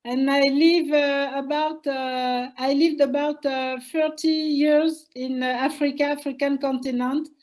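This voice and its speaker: An older woman from Senegal speaks with a high voice at a slow, unhurried pace. She pauses often, filling gaps and restarting sentences. Her English is a to her. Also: level tone, darkish tone. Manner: hesitant, many fillers and restarts. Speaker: historian guest. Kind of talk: interview conversation.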